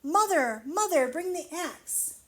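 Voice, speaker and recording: A woman's voice: very high voice, lively intonation, takes it slowly, fairly bright tone, voice pushed up, exaggerated voice. A woman voicing an eager, daring young boy from a fairy tale, speaking emphatically. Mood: urgent.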